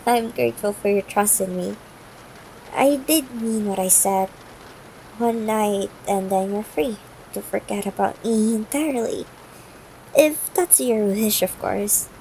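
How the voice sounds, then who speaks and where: A woman playing a tender, yearning, gently commanding CEO ex-girlfriend in an intimate ASMR roleplay, soft-spoken and formal in wording, with hesitations. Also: medium pitch, unhurried, lightly acted. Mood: composed, grateful. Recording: noisy broken-microphone home recording.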